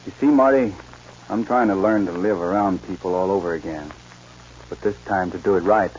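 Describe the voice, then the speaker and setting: An actor playing an easygoing but stubborn cowboy loner in a 1940s western radio drama, with a folksy, plainspoken way of talking. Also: male voice, low-pitched, fairly quick, darkish tone, slight background noise, close to an everyday voice. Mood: mild, reflective. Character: easygoing, stubborn young-hearted cowboy loner called Wyoming. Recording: dull 1940s radio recording, music.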